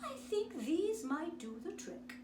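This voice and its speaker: A sweet old woman from a storybook, acted out by a woman reader, gentle and quietly knowing. She muses slowly, with a lilting delivery, in a roomy recording. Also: very high-pitched, sing-song, pitched above the performer's own voice, heavily acted. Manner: sweet, musing, repeats words and hums. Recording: roomy, distant mic, noisy background.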